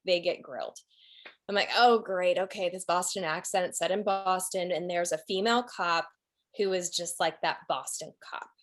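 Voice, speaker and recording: Expressive woman in a casual interview. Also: mid-range voice. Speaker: audiobook narrator and producer, interview guest. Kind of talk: casual conversational interview answers with anecdotes.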